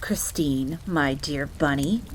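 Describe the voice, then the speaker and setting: A woman voicing a cool, commanding aristocratic male vampire, composed and unhurried, in an audio roleplay. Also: low-pitched, slight background noise, pitched below the performer's own voice. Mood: calm, menacing. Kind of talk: in-character storytelling audio roleplay. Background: background ambience.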